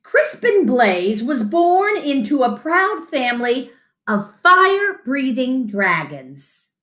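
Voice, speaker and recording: Animated, high-voiced woman storyteller. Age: middle-aged. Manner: animated, dramatic, with deliberate pauses. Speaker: children's storyteller. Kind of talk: expressive picture-book reading. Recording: roomy home echo.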